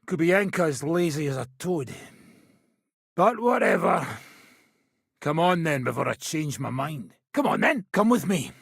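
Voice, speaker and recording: Gruff, gravelly medieval swordsman. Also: on the high side, sing-song, a little slow, long pauses, no echo, studio quality, full character voice. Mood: gruff, casual. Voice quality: rough, gravelly. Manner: blunt, commanding, instructive. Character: gruff, impatient seasoned male mercenary swordsman teaching combat. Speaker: video game character, sword-fighting trainer. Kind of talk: medieval video game voice acting.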